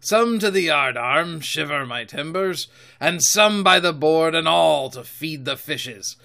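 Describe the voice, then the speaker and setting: A man voicing a domineering, menacing older pirate captain in rough seafaring dialect, blustering and commanding, for a storybook reading. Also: a little high, expressive, unhurried, pushed and bright, voice pushed up, heavily acted. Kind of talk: audiobook character voice.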